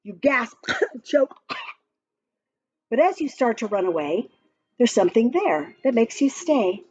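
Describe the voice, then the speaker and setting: A woman reading a children's picture book aloud in a gentle, expressive storytime voice. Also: on the high side, slightly rough, muffled recording.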